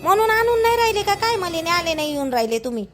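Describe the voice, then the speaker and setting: A woman's voice: extremely high pitch, sing-song, quick pace, fairly bright tone, soft background music, heavily acted. A woman voicing a complaining, needling village wife in a cartoon, with a Vidarbha Marathi accent. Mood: aggrieved.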